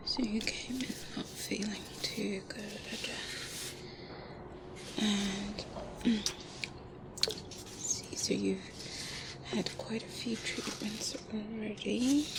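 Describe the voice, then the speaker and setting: A woman playing a softly sinister, polite specialist doctor in an ASMR roleplay, hesitant and insinuating, in a breathy whisper with murmured hums. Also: medium pitch, steady tone, extremely slow, long pauses, very noisy. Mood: softly attentive.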